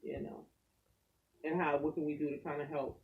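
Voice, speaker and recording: A man, a pastor and poet, talking in an animated, preacherly way in a casual faith conversation. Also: a little high, moderate pace, far from the mic, phone-quality sound.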